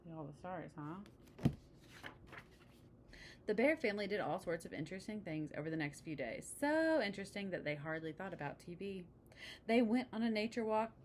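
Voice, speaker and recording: A woman reading a children's picture book aloud, pausing for chatty asides and questions to the listener. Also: on the low side, slight background noise. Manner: engaging, asks the listener questions. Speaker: storybook reader.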